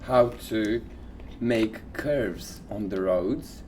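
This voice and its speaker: A man co-hosting a podcast, casually sharing odd facts in halting, informal phrases. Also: on the low side, darkish tone, slight background noise. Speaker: podcast co-host.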